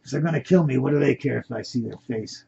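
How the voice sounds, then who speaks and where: A man reads a worn, stubborn old man held captive in a crime story, plain-spoken. His voice is husky with heavy fry, and the recording is dull. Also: mid-range voice, fast, dark tone, full character voice. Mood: resigned.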